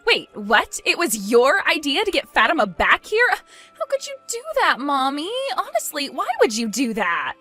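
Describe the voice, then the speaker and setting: Woman acting out a whiny, high-pitched spoiled young bride. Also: very animated, moderate pace, few pauses, sharp tone, soft background music, full character voice. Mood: shocked, annoyed. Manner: petulant, pleading, dramatic. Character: spoiled, whiny, self-centred young bride, pleading with mother.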